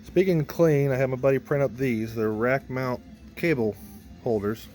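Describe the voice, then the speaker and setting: A man's voice: mid-range voice, steady tone, moderate pace, darkish tone, faint music behind. A man narrating a hands-on gear vlog in a casual, informal way, thinking aloud as he works. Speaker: band member showing a PA rack rebuild.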